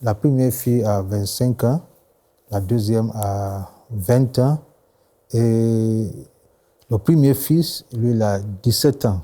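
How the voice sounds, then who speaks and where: A man in his forties from Ghana, a pastor whose first language is English, speaking with a Ghanaian accent in a measured studio interview, often answering with short agreements. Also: medium pitch, mellow. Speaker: pastor and guest.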